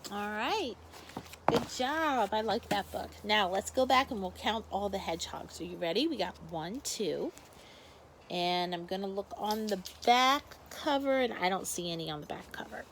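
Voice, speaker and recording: Female teacher, slow unhurried pace, expressive children's read-aloud, medium pitch, faint background noise. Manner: expressive, addressing young children. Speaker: first grade teacher. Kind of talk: children's story read-aloud with casual asides.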